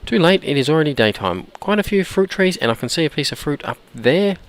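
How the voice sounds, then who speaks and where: A man with a hoarse, croaky voice from a cold, chatting casually while he plays and often clearing his throat. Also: on the high side, big pitch swings, fairly quick. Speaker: gameplay commentator. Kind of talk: casual let's-play commentary.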